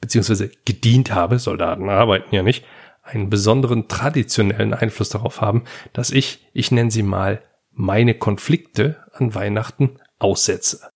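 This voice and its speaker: Gravelly, husky male podcast host. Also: on the low side, moderate pace. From Germany. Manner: direct address to the listener, anecdotal. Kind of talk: scripted podcast monologue on negotiation advice.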